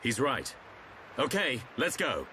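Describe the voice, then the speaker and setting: An actor playing a calm, measured, seasoned swordsman in a video game cutscene. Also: mid-range voice, a little hiss or hum, exaggerated voice.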